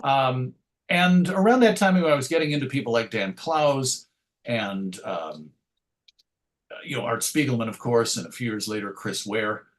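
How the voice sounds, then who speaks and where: A man's voice: mid-range voice, lively intonation, unhurried. Middle-aged man, rambling, casual interviewee. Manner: rambling, hesitant, self-interrupting. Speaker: interview guest, writer and radio show host.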